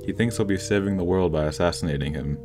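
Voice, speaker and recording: A man's voice: sits low, quick pace. A man narrating a scripted film analysis as a video essay voice-over. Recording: voice-over with background music. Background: instrumental music with plucked guitar.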